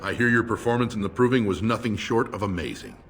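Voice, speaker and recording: An actor playing a loyal aide to a lord in a fantasy game, formal, courteous and deferential. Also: male voice, on the low side, animated, fast talker, fairly bright tone. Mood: pleased, courteous. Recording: faint game music behind.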